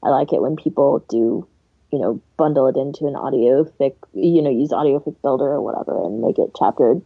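A woman's voice: a bass voice, a little fast, breathy edge, no echo. A woman co-hosting a podcast in casual conversation, on a slightly dull microphone. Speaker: podcast co-host. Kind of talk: casual panel chat.

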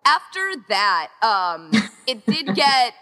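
A woman's voice: fairly high pitch, very animated, takes it slowly, pushed and bright, in a large hall. A woman chatting casually and informally as a podcast interview guest, peppering her talk with "like". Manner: chatty, informal, heavy use of "like". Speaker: interview guest, event co-founder.

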